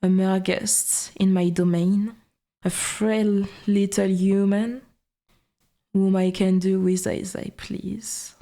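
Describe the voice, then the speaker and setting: A woman voicing an ancient, dominant forest god in an ASMR roleplay, speaking in formal, archaic wording with a breathy half-whisper and quiet menace. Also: mid-range voice, little pitch movement, slow. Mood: condescending, veiled threat.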